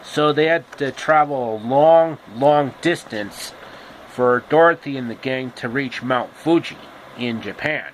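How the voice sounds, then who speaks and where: Male storyteller, middle pitch, informal and halting, unhurried delivery, noisy home-style recording. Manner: halting, deliberate phrasing. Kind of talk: informal, improvised storytelling with pop-culture asides.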